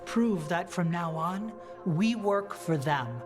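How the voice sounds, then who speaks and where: A composed, firm fantasy rebel leader. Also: female voice, lowish voice, animated, over music. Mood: resolute. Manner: measured, firm, earnest. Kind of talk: dramatic video game dialogue acting.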